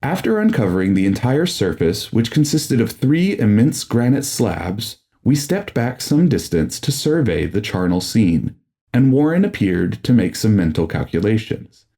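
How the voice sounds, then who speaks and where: A man's voice: fairly low pitch, sing-song, moderate pace, warm tone. A man narrating a gothic horror audiobook in a solemn, formal and dramatic manner.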